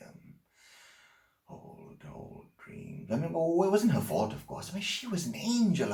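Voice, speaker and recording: A man's voice: a little high, a little mellow. A man playing an unsettling, haunted man who stammers through an intense dramatic monologue about his troubled life. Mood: agitated. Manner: halting, stammering, intense storytelling. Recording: roomy, echoing room.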